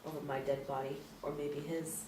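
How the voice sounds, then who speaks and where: A woman's voice: low voice, level tone, moderate pace, lightly acted. A woman playing a tough, sardonic young spy in a screenplay read, with a dry, cutting manner. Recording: echoey room, distant mic, faint noise.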